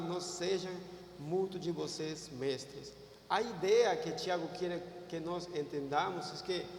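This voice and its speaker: A Peruvian man whose first language is Spanish, preaching a sermon in Portuguese with a Peruvian Spanish accent, halting and repeating words as he searches for phrases. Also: fairly high pitch, slight background noise. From Peru. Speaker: pastor. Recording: echoey hall, far from mic.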